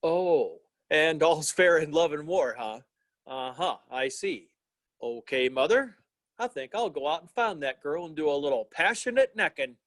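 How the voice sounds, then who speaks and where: A man's voice: a little high, animated, measured pace, a bit bright, no room sound. A man playing an earnest, idealistic young small-town fellow in an old-time radio play, plain-spoken and given to repeating himself. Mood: wry, subdued.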